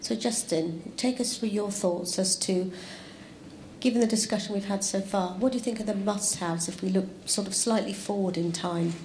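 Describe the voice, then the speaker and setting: A woman's voice: mid-range voice, normal speed, darkish tone, slight background noise. A woman moderating a conference panel with a handheld mic, steadily guiding the questions. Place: large conference room with audience. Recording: echoey hall, faint ambience.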